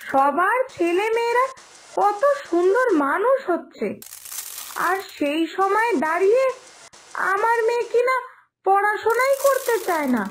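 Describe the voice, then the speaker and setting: A woman's voice: very high voice, expressive, measured pace, a little hiss or hum, low-quality recording. A woman voicing a fretful village mother in a cartoon moral story, lamenting and admonishing in an exasperated way. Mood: worried.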